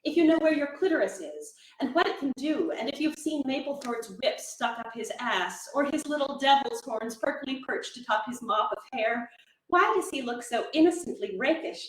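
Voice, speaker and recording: In a reverberant room, a woman recites poetry to a live gathering. Her voice sits on the high side, and she reads expressively, as a dramatic reader would. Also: animated, moderate pace. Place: reading room at a live literary event. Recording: echoey room.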